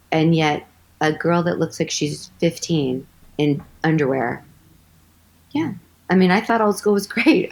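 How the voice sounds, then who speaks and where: A woman with a low voice, chatting animatedly as an actress guest in an interview, telling stories with lots of "like". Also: vocal fry, poor audio. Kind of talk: casual interview storytelling.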